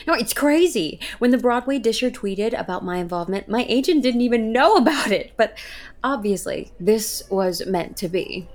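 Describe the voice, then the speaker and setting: A woman's voice: fairly high pitch, expressive, moderate pace, natural voice. Woman as a gushing, self-important theatre director. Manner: effusive, grandiose, assured. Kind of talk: audio comedy drama acting.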